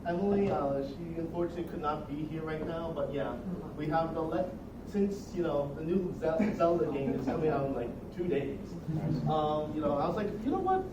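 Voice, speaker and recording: A man's voice: on the high side, animated, brisk, muffled recording. A young man giving a casual, rambling, jokey student demo of his project. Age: young adult. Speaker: student presenter. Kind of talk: informal project demo presentation. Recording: echoey noisy room, distant microphone.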